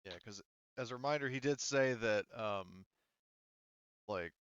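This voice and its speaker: A man's voice: medium pitch, unhurried, fairly bright tone, clean tone, no echo, lo-fi sound. A man acting as game master, explaining rules and story casually at the table.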